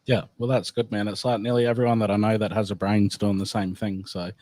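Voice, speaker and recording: A man hosts a loose, casual chat and asks questions in an offhand way, swearing freely. His voice sits on the low side. The sound is dry, with no room around it. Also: little pitch movement, a little fast, a little mellow, no echo. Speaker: host.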